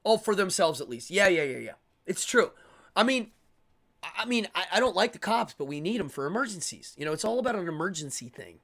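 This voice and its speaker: A man hosting a live stream, talking casually and opinionatedly as he answers chat, rambling and repeating words in quick bursts. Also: high voice, big pitch swings, gravelly, a bit bright. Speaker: live-stream show host.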